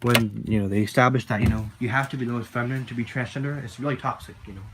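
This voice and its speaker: A deep-voiced man talking casually to the camera in a personal vlog, rambling and filling his talk with constant "you know"s and repeated phrases. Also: steady tone, fast, noisy background. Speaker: vlogger.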